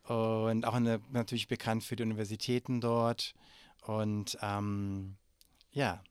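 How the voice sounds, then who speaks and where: A man's voice: on the low side, little pitch movement, unhurried, smooth, no echo. A man in his forties from Germany, answering interview questions hesitantly with many fillers and restarts. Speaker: interview guest.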